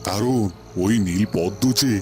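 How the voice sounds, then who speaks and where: A grave holy man of fairy tale acted by a man, speaking in a fairly low male voice. He is solemn and deliberate, repeating phrases for weight. Light music runs underneath. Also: close to an everyday voice. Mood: grave, hesitant. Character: grave, wise male holy hermit advising a prince. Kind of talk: dubbed cartoon fairy-tale acting.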